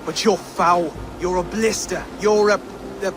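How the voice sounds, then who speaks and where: A man's voice: high-pitched, steady tone, measured pace, noisy background. A nervous young Saxon would-be king. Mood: sparring. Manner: hesitant, flustered. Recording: game cutscene with loud ambience.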